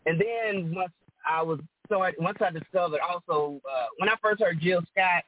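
A woman from Georgia with a deep alto voice, chatting as a guest singer and laughing often. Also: normal speed. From Rome, Georgia, USA. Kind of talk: casual interview chat. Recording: phone-like call line.

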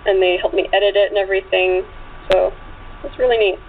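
A woman's voice: mid-range voice, steady tone, fairly quick. A woman guest chatting casually in an interview, talking in a chatty way peppered with "like" and "you know". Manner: chatty, frequent 'like' and 'you know'. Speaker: guest author and actress. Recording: tinny phone line with clear background noise.

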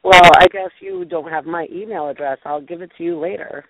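A woman hosting a casual talk show, deep-voiced and bubbly, gushing and peppering her talk with "like". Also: fairly even intonation, brisk, a crowd in the background. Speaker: host. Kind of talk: casual chat show banter. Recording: tinny phone-line radio sound.

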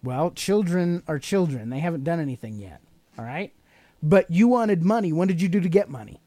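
A man's voice: fairly high pitch, expressive, moderate pace, warm tone, no echo, studio quality. Podcast host: American male, middle-aged, emphatic and sermonizing, speaks straight to listeners with rhetorical questions. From United States. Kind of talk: motivational talk to listeners.

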